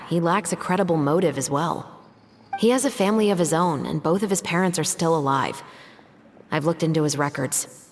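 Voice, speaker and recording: Low-voiced, composed female investigator. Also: slight background noise. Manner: measured, reasoning, articulate. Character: composed, serious female investigator, a patrol captain. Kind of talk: video game voice acting.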